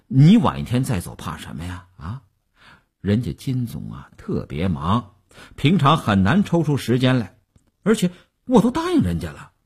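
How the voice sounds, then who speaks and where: A man's voice: lowish voice, sing-song, breathy edge, exaggerated voice. A man playing a smug, boastful stock speculator who cajoles his partner in chatty audiobook dialogue. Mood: flustered pleading. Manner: chatty, cajoling, with drawn-out particles and chuckles.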